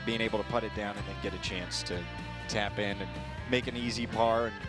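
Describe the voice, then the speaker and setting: American male color analyst for golf broadcast, lowish voice, conversational, guitar music clearly behind. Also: fairly even intonation, a little fast. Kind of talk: conversational live sports commentary.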